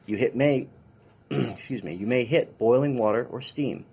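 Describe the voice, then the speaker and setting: A man's voice: mid-range voice, moderate pace, vocal fry, darkish tone, slightly rough, muffled recording. A man, a police officer, reading a children's science picture book aloud in an instructional way with brief dry asides.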